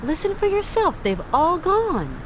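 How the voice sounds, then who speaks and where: An actress playing a plucky, level-headed adventure heroine in a video game, with a natural, direct delivery. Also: female voice, very high voice, huge pitch swings, measured pace, background noise, exaggerated voice. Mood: coaxing.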